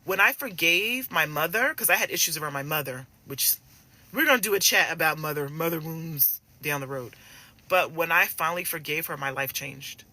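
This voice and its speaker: A woman in her forties with a very bright, forceful voice, pitched on the lower side for a woman. She talks like a coach in a casual live chat, addressing listeners directly and familiarly. Her delivery is emphatic, with key phrases repeated for weight. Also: lively intonation, moderate pace. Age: 40s. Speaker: relationship coach addressing women.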